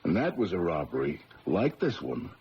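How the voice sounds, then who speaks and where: An actor playing a blunt police officer giving matter-of-fact testimony in an old radio drama. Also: male voice, low voice, sing-song, normal speed, darkish tone. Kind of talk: 1970s radio drama acting. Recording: muffled radio broadcast with hiss.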